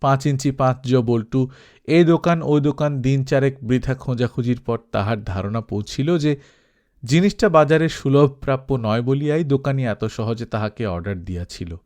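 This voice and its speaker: A man's voice: mid-range voice, normal speed, darkish tone. A man reading a literary story aloud as a scripted audiobook narration.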